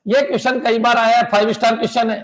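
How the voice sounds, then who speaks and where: A man with a strikingly high-pitched voice lectures exam students like a coaching-class teacher. He drills each point by repeating it and keeps checking in with his pupils. He pushes hard on what will come up in the exam. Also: level tone, fast talker. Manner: repetitive, drilling, quizzes students with "clear?" and "बच्चों"; emphatic, pushes exam tips and motivation. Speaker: computer science exam coach.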